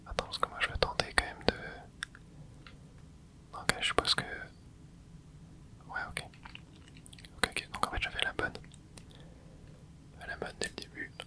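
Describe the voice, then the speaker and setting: A person whispering relaxed running commentary over a video game, with soft breathy tones, close to a microphone indoors. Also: unhurried, long pauses. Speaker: gaming ASMR host.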